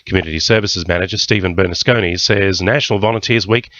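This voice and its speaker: A man's voice: sits low, fast, a bit bright, no room sound, dull audio. A man with an Australian accent reading a scripted radio news bulletin.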